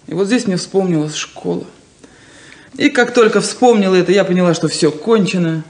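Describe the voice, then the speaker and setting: An actress playing a proud, insecure young daughter in a 1970s Soviet radio play, with a theatrical, defensive, wounded delivery. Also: female voice, on the low side, normal speed, a little mellow, natural voice. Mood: wry hurt. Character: proud, insecure, plain-spoken unmarried daughter. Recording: roomy 1970s radio drama recording.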